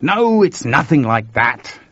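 A man's voice: medium pitch, big pitch swings, takes it slowly, a bit bright, dry recording, muffled recording, voice pushed up, heavily acted. A man voicing a worried male computer engineer, talking earnestly and urgently.

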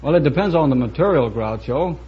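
A man's voice: on the high side, expressive, fairly quick, husky. Careful, dry American man, dull old recording. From Virginia, United States. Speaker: quiz show contestant. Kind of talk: answering interview questions. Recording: dull, noisy vintage broadcast recording.

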